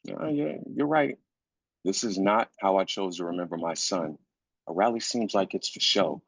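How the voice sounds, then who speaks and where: A man's voice: deep voice, normal speed, gravelly, breathy edge, no echo, full character voice. A man playing a weary, grieving husband and father in a screenplay reading, insistent yet supportive. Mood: subdued, supportive. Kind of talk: live staged screenplay reading. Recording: dull, lo-fi sound.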